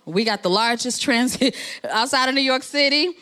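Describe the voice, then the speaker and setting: A woman's voice: high voice, moderate pace, bright, forceful tone. A woman from New Jersey, the president of an organization, giving a conversational, encouraging speech at a networking event. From New Jersey, USA.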